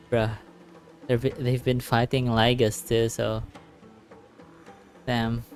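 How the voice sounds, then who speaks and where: Guy game streamer, slangy n halting, takes his time, faint music behind. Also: medium pitch, little pitch movement. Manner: slangy, halting, repeating himself. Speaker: game livestreamer. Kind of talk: casual live gameplay commentary.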